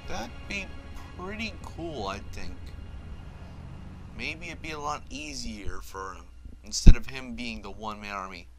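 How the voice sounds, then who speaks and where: Male gaming host, average pitch, off-the-cuff and casual, game soundtrack underneath. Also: animated, a little slow. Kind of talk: casual game commentary over cutscenes. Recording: game music behind voice. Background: loud game soundtrack.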